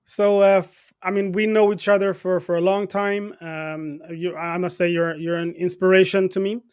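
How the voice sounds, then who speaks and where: Male interview host, notably high-pitched voice, halting delivery with repeated words. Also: level tone, darkish tone, clear, resonant tone. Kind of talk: interview with a guest.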